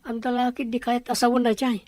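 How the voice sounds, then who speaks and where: A woman's voice: a little high, little pitch movement, fast talker, darkish tone, no echo, close to an everyday voice. A woman with an Ilocano accent acting a suspicious, plotting character in a radio drama.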